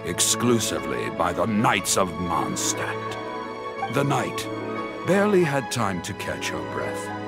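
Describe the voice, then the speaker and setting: Male game storyteller, grandiose, suspenseful, unhurried, widely swinging pitch, music behind. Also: gravelly, heavily acted. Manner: grandiose, suspenseful, with dramatic pauses. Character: dramatic male street storyteller spinning heroic tales. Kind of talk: theatrical storyteller narration. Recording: background music under voice.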